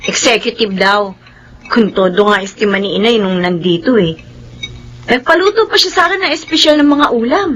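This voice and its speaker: A woman's voice: mid-range voice, animated, normal speed, a bit bright, slightly rough. A woman playing a dutiful, meek young daughter with a Filipino accent, deferential, in radio drama style. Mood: worried, confiding.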